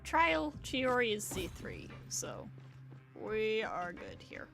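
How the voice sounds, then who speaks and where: A woman's voice: on the high side, huge pitch swings, slow, gravelly. A woman game streamer giving casual live commentary, rambling and thinking aloud as she plays. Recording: game music behind the voice.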